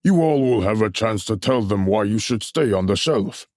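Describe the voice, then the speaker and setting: A man's voice: on the low side, lively intonation, normal speed, darkish tone, no room sound, lightly acted. Guy doing a smug brash patriot puppet, blustery, very up and down delivery, dry sound. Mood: dismissive, smug. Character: brash, dismissive, smugly patriotic male puppet character. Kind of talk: theatrical puppet musical acting.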